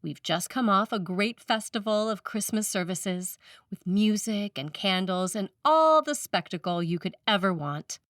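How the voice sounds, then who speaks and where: A woman's voice: medium pitch, animated, unhurried, fairly bright tone, dry recording, distant mic. Woman reading a sermon, dry sound. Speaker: priest reading a written sermon.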